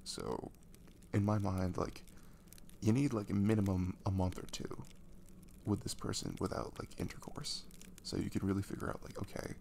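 A man playing a caring, reassuring young boyfriend in an ASMR roleplay, speaking gently and hesitantly to his nervous girlfriend. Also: fairly low pitch, steady tone, moderate pace, creaky, a little hiss or hum. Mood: earnest, casual. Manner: hesitant, gentle, soothing, with soft chuckles.

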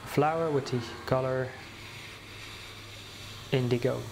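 A man leading a guided meditation, speaking slowly and soothingly with many pauses and restarts. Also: mid-range voice, steady tone, noisy background.

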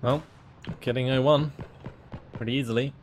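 A man chatting casually during a game in brief, low-key remarks. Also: fairly even intonation, moderate pace, slight background noise. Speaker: gamer. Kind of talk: casual gameplay chat. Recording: game gunfire behind the voice. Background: video game gunshots and artillery.

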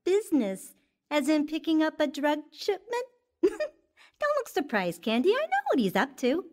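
An actress playing a brazen young mistress who teases and needles the wife. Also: female voice, high voice, big pitch swings, a little slow, darkish tone. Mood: smug. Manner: smug, teasing.

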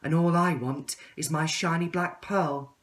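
A man's voice: on the high side, fairly even intonation, unhurried, fairly bright tone, far from the mic, pitched above the performer's own voice. A man voicing a sweet but reproachful young female caterpillar, lovestruck and demanding, in a children's storybook.

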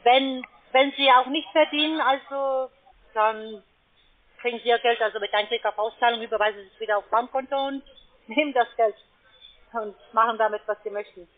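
High-voiced woman on a tinny phone line. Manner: hesitant, halting, persuading. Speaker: call centre trading salesperson. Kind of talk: unscripted sales phone call. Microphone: telephone.

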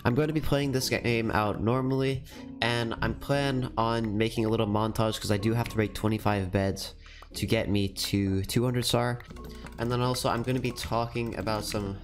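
A man's voice: mid-range voice, fairly even intonation. A teenage boy with a stuffy nose from a cold, chatting casually and cheerfully while commentating his gameplay at a desk microphone. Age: teens. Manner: chatty, upbeat, quick laughs. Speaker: gaming YouTuber. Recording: music playing under the voice. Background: background music and keyboard typing.

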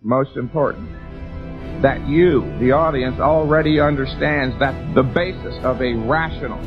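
A man lecturing in a deliberate, formal and wordy way about a logical approach to training. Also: medium pitch, muffled recording. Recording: speech over loud music. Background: music.

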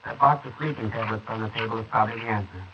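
A man's voice: on the low side, rapid-fire, natural voice. Brief: impatient detective sergeant, clipped, played by a man, dull hissy 1940s wire recording. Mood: brisk. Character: brisk, curt police detective sergeant. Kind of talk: 1940s radio acting.

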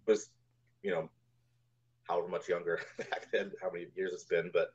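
A man in his forties telling stories casually as an interview guest, halting as he goes, over a video call. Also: deep voice, little pitch movement. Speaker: guest, composer. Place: room at home, indoors, on a video call. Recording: dull, lo-fi video-call audio.

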